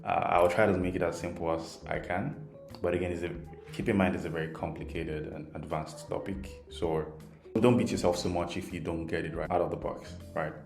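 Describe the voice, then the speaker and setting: A man's voice: low-pitched, steady tone, a little fast, creaky, darkish tone. A man with a Nigerian accent, a native Yoruba speaker, gives an informal, patient programming tutorial, keeping things simple and often checking in with "right?". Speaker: programming instructor. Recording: roomy echo, faint music underneath.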